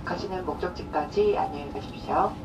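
A woman reading a scripted arrival announcement as a train announcer, heard over carriage speakers. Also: brisk. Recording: noisy train PA from a distance. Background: train rumble.

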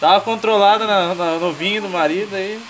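A man's voice: high-pitched, fairly quick. A man with a southern Brazilian accent giving a colloquial, jokey, explanatory cooking chat as a home cook. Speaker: home cook presenting a recipe. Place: home kitchen beside a sizzling pan. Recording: loud frying sizzle and stirring noise.

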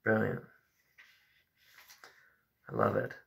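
A man's voice: very slow, fairly bright tone. A man in his thirties talking about and reading poetry in a reflective, informal way. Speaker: host of a poetry reading video. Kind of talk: poetry recitation and casual commentary. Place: small room at home with a bookshelf, indoors.

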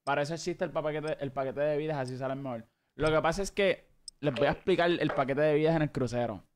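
A young man, hoarse from shouting, chatting casually with his live-stream viewers and greeting them by name. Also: fairly high pitch, fast talker. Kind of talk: casual live-stream chat while gaming. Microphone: studio mic on arm, headphones.

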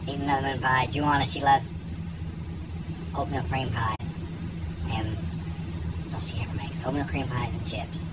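A man's voice: mid-range voice, flat delivery, normal speed, long pauses. A man in a comedic vlog who plays a tour guide and rambles to his phone camera and to people off camera. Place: outdoors, by a lake, then indoors in a truck cab. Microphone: phone at arm's length. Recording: noisy outdoor phone recording.

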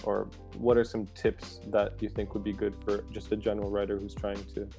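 A young man from Canada hosts the interview, his voice low and gravelly with a mellow, dark tone. He talks relaxed and friendly, with plenty of fillers, over an earphone mic in a home room. Faint music sits behind him. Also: fairly quick. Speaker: host, co-editor of a literary journal. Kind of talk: casual interview over video call. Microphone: earphone mic on video call.

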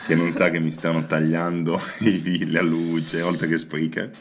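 A man's voice: low-pitched, little pitch movement, a little slow, slight background noise. A man in his twenties chatting informally as co-host of a radio show. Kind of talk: casual chat.

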